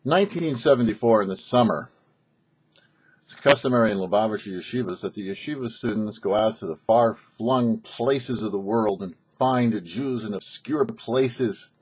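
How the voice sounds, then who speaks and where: A man's voice: on the low side, moderate pace, husky. An American man hosting and narrating a religious story in an anecdotal way, acting out bits of dialogue. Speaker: host and storyteller. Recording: slightly dull lo-fi recording.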